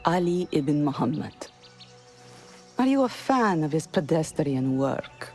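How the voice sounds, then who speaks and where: Slow, low-voiced refined poetess. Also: female voice, very animated, dark tone, exaggerated voice. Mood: cool, haughty. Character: proud, refined female poet, cool and haughty. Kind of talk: poetic video game drama acting. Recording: clear background music with birdsong.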